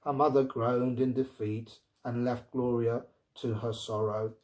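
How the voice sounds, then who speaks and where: A man narrating a story aloud in a deliberate, dramatic, expressive way. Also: mid-range voice.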